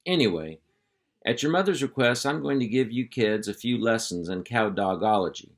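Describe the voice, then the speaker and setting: A man's voice: fairly low pitch, expressive, moderate pace. A man playing a boastful cow dog. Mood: lecturing. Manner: folksy drawl, hearty and lecturing. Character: boastful male ranch cow dog, folksy.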